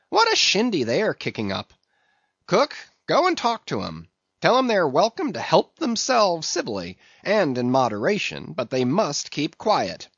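A man's voice: on the high side, slightly rough, no echo, pitched above the performer's own voice, full character voice. A man voicing a brash, bossy sea officer who barks orders at the cook, on a dull lo-fi recording. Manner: shouting orders, brusque.

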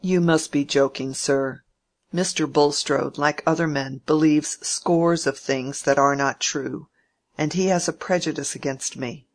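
A reader voicing a proud, courteous young gentleman who speaks formally. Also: mid-range voice, fairly even intonation, a little slow, natural voice. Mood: polite defensive. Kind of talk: audiobook character reading.

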